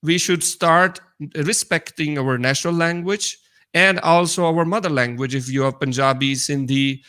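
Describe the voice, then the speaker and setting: Higher-pitched Pakistani man, halting delivery. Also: pushed and bright, clean tone. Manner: halting, searching for words. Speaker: co-host. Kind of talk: casual live discussion, reading viewer comments.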